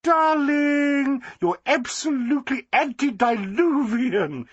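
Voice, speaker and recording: A male reader voicing a shrill, gushing society wife. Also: animated, takes it slowly, no echo, highs cut off, full character voice.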